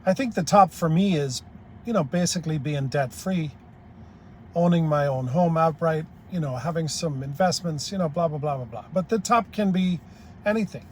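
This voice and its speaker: A blunt older man, Irish-born, holds forth in a rambling, plain-spoken monologue. His voice sits a touch high for a man, with a faint hum of noise behind him. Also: moderate pace. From Ireland. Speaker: YouTube commentator. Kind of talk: opinionated monologue.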